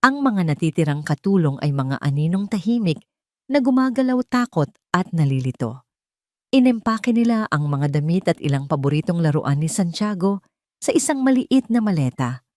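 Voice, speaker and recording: A Filipino woman narrates a scripted drama in a fairly low voice. Her storytelling is measured and solemn. The recording is dry and close, with very little room sound. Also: sing-song, darkish tone, dry recording.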